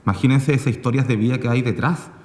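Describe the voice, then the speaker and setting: A man speaking off the cuff as a panelist, in a halting way full of filler words. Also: medium pitch, a little mellow. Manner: halting, filler-heavy phrasing with repeated "digamos". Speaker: panelist, radio project maker. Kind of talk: spontaneous panel talk. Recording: echoey hall with long reverb.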